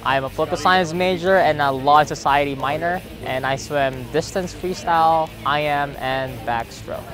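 A man's voice: a little high, normal speed. A young man from the Philippines, a college swimmer, briefly introducing himself outdoors in a listing way. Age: young adult. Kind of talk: short self-introduction. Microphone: lapel mic. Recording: music bed behind voice.

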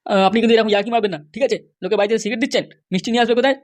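A man voicing a touchy, scheming middle-aged village politician and father in a comic cartoon, blustering and defensive through an altered, pitched-up voice. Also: fairly even intonation, very fast. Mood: touchy, firm.

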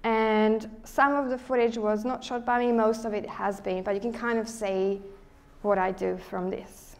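A young woman from Slovakia with a Slovak accent, speaking as a guest presenter to a live audience in a lecture hall, friendly and relaxed, restarting sentences as she thinks. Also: fairly high pitch, moderate pace. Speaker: guest speaker, underwater photographer. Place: lecture hall at a photo show.

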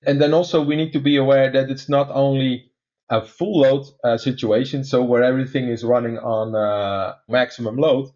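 A man with a Dutch accent walks through a technical topic in a measured, conversational way, often pausing on "uh". His pitch sits in an ordinary middle range. The recording sounds slightly dull and lo-fi. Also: fairly even intonation, darkish tone. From Netherlands. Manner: many "uh" fillers, measured explaining. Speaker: guest expert.

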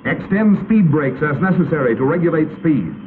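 An American man narrating a 1950s instructional film in a crisp, authoritative, instructional manner. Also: a little high, lively intonation, moderate pace. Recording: tinny, noisy 1950s film soundtrack.

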